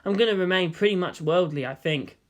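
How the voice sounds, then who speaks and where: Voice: medium pitch, brisk, natural voice. A narrator voicing an exasperated, sarcastic teenage boy hero in a fantasy story. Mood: irritated.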